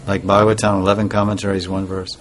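A man giving an informal devotional talk as a spiritual teacher, soft-spoken and rambling, with pauses and restarts. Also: on the low side, little pitch movement, slight background noise.

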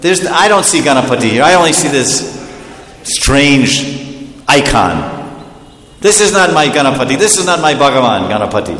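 A man's voice: medium pitch, big pitch swings, moderate pace, fairly bright tone. A man voicing a devout, emotional pilgrim in a dramatic, pleading manner, as part of a religious story. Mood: disappointed, complaining. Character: devout pilgrim of Ganapati, plaintive and easily disappointed, then adoring. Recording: echoey hall with long reverb.

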